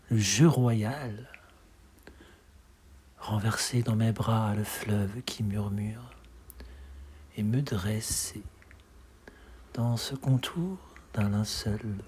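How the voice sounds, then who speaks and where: A man with a breathy, husky voice reciting poetry expressively, in measured dramatic phrases that often sink to a hush. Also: lowish voice, slight background noise. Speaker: poetry reader.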